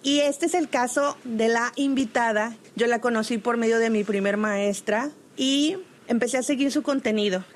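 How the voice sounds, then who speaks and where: A woman hosts a podcast with a slightly high voice. She introduces her guest with open admiration, speaking in a halting way and often stopping to restart her phrases. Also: slight background noise. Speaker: podcast host.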